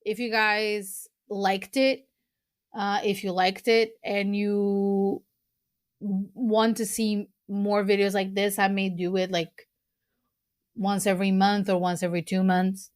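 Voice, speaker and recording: A young woman from Portugal hosts her podcast. She talks slowly and earnestly, pausing on ums and restarting phrases. Her voice sits at a middling pitch. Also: flat delivery, no room sound, studio quality. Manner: slow, earnest, with fillers and restarts. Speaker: podcast host. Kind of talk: casual podcast talk recommending books.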